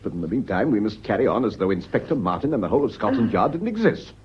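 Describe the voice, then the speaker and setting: A man's voice: fairly low pitch, very animated, fast, breathy edge, heavily acted. A man acting in a British radio play as an indignant, evasive widowed husband, haughty and agitated, with a British accent. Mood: hushed, tense. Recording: dull lo-fi radio recording.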